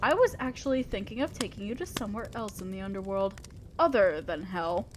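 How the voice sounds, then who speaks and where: A woman's voice: medium pitch, lively intonation, brisk, background noise, close to an everyday voice. A woman playing a refined, teasing demon lord in an audio roleplay, addressing a mortal servant with genteel, indulgent, theatrical playfulness. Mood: amused, explaining.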